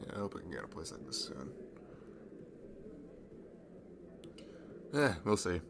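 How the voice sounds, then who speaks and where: A man plays a young college guy in an intimate audio roleplay, friendly and easily flustered. His low voice crackles with fry and swings expressively. He stammers and pokes fun at himself at a slow overall pace. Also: fairly bright tone, full character voice. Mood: relaxed, amused. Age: young adult. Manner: hesitant, stammering, self-deprecating. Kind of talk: naturalistic ASMR roleplay acting.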